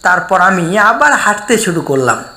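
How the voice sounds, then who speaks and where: A woman narrating a scripted horror story in the first person, in a measured, suspenseful way. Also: mid-range voice, big pitch swings, sharp tone. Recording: echoey voice with faint background noise.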